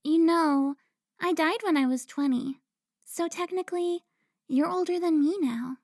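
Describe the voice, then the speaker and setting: High-voiced coquettish vampire played by a woman. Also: darkish tone, dry recording, studio quality. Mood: playful, teasing. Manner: playful, pouty, drawn-out teasing. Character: teasing, coquettish centuries-old female vampire who looks twenty. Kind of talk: cinematic audio roleplay acting.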